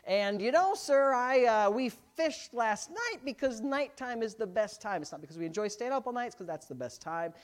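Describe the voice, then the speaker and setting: A man's voice: very high voice, lively intonation, moderate pace, few pauses, pitched above the performer's own voice. A stubborn, weary fisherman, acted out by a man in a sermon talks back with pointed politeness. He is skeptical and explains his objections firmly. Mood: polite protest. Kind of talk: sermon role-play.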